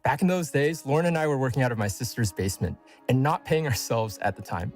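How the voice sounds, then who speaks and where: A man's voice: medium pitch, expressive, fast talker, faint music behind. A man delivering a scripted announcement as a company co-founder addressing a community.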